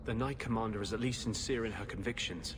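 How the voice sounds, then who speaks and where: An actor playing an intense, principled rebel mage in a fantasy video game, grim, earnest and insistent. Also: male voice, on the low side, quick pace, close to an everyday voice. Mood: grim, bitter. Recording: clearly noisy game audio.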